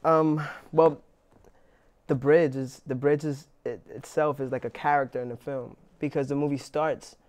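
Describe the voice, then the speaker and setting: Young New man, flat halting talk. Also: mid-range voice. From New York, Inwood. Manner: relaxed, halting with many restarts and fillers. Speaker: actor, interviewee. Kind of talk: casual interview.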